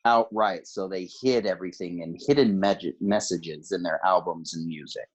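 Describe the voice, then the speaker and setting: A man's voice: sits low, moderate pace, breathy edge. A man on a podcast panel, explaining and debating in casual conversation.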